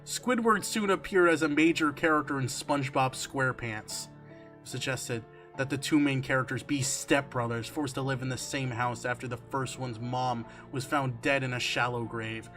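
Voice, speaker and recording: A man reading a horror story aloud on a stream, expressive and emphatic, slipping between dramatic narration and casual asides. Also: mid-range voice, moderate pace. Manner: expressive, emphatic, swearing, breaking into amused asides. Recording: music under the voice. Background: background music.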